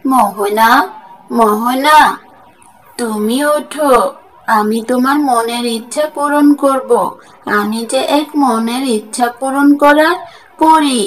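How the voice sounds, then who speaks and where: A woman's voice: on the high side, slow pace, pauses often, rough recording. A woman voicing a kind, reassuring wish-granting fairy in a fairy-tale cartoon. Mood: kindly, reassuring.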